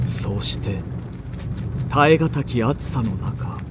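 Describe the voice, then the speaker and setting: An actor playing an earnest poet-pilgrim who recounts his journey deliberately as first-person radio drama narration. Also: mid-range voice, lively intonation, very noisy. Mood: earnest narrating.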